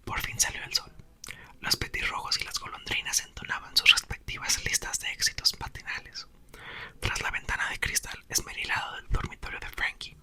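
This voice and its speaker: Whispering man reading. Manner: soft whispered reading with playful chatty asides. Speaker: ASMR host reading aloud. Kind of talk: whispered ASMR book reading.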